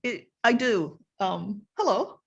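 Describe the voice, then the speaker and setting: A woman's voice: medium pitch, animated, takes it slowly, vocal fry, highs cut off. Hesitant woman chairing a meeting. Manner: hesitant, repeats words while thinking. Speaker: committee chair.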